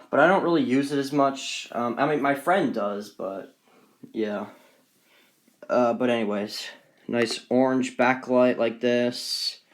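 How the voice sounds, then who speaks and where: Rambling guy giving a casual show-and-tell. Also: mid-range voice. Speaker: hobbyist collector presenting radios on video.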